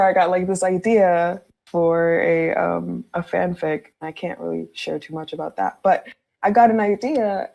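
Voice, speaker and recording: Woman, deep register, casual podcast guest, candid, informal, conversational. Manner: candid, informal, laughs often while talking. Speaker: fan fiction writer, podcast guest.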